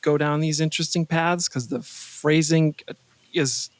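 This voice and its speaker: A man's voice: fairly high pitch, fairly even intonation, unhurried, no room sound. A man speaking as an interview guest in a casual, modest, chatty way. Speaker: podcast guest, composer.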